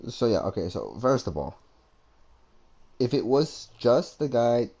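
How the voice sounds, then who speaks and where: A man giving casual gameplay commentary, thinking aloud in a halting way. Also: mid-range voice, very animated, creaky, dark tone, breathy edge. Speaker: gamer.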